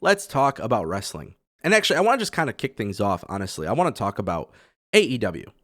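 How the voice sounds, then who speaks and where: A man hosting a wrestling news show, his voice raspy from a cold, talking casually and giving his opinions with lots of fillers. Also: lowish voice, huge pitch swings, fast talker, fairly bright tone, no echo, clean studio sound. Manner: chatty and opinionated, with many fillers.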